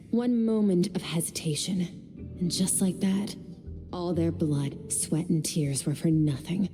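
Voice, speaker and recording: An actress playing a stern, aloof warrior woman who mentors a pupil in a video game, speaking in a cool, composed, measured way. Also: deep voice. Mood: grave, stern. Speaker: combat mentor.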